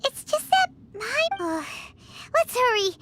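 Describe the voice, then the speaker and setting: Tiny video game fairy sidekick: extremely high childlike voice, hugely animated, excitable and anxious. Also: female voice, takes it slowly, heavy background noise, exaggerated voice. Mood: worried. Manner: childlike, speaks of herself in third person.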